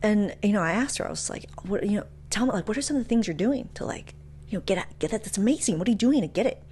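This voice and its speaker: A woman's voice: mid-range voice, lively intonation, fast talker, a little mellow, a little hiss or hum. A woman speaking as a motivational speaker, casual and frank, with emphatic delivery and slangy asides. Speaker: motivational speaker and podcast host. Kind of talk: motivational talk with personal anecdotes.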